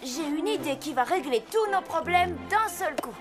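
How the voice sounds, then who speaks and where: A woman's voice: high voice, expressive, normal speed. A woman playing an earnest, clever young boy in a French children's cartoon. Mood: curious, excited. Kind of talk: French animated cartoon dub. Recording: loud music behind the voice.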